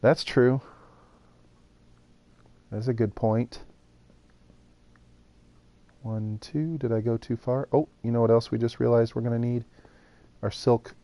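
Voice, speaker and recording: Slow, mellow-voiced man streaming gameplay. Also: medium pitch, fairly even intonation, no room sound. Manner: thinks aloud while building, talks to chat. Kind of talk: casual live gameplay chat.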